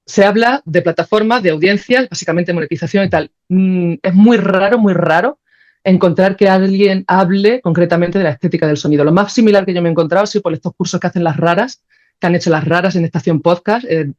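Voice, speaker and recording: A woman's voice: lowish voice, fairly quick, darkish tone. Female podcast guest. Conversational, opinionated, stresses points by repetition; slightly dull lo-fi sound. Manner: emphatic, repeats phrases for stress.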